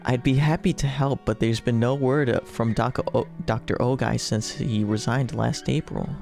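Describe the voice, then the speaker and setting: A man's voice: mid-range voice, steady tone, moderate pace, gravelly, darkish tone, faint music behind. A man hosting a let's-play with casual, joking banter, swearing freely and often stammering. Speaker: host of gaming video.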